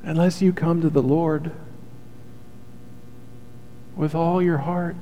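A man's voice: fairly high pitch, steady tone, slow pace, dull audio, a little hiss or hum. A man preaching a sermon as pastor, earnest and heartfelt, with a soothing voice. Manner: earnest, heartfelt preaching with soft confessional asides. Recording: long hall reverb.

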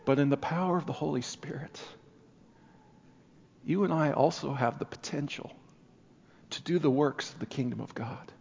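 A man preaching a sermon in earnest, building emphasis and then dropping to quieter passages. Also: a little high, moderate pace, creaky, long pauses, husky, in a large hall, highs cut off. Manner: earnest, rising and falling emphasis.

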